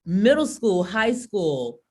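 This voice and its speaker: A woman's voice: medium pitch, big pitch swings, slow pace, dark tone, slightly rough. A woman hosting an interview, speaking to her guest and to an audience she calls students in a friendly way. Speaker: host. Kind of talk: interview host chat.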